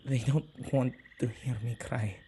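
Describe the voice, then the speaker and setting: Slow, mellow, gravelly man playing a male witch. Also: medium pitch, fairly even intonation, slight background noise, lightly acted. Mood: tender, calm. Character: male witch, earnest, tender protector of ghosts. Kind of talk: ASMR boyfriend roleplay acting.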